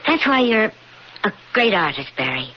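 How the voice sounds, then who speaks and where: Actress as a devoted wife, muffled radio sound. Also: female voice, medium pitch, sing-song, normal speed, close to an everyday voice. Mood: admiring, coaxing. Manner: earnest, coaxing, dramatic emphasis. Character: devoted, admiring lawyer wife, earnest and encouraging. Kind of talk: 1980s radio drama acting. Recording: dull, hissy old radio broadcast recording.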